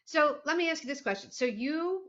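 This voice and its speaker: A woman's voice: sits high, expressive, fairly quick. A woman hosting a podcast interview, chatty and eager as she asks questions. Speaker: podcast host. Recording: muffled remote podcast audio.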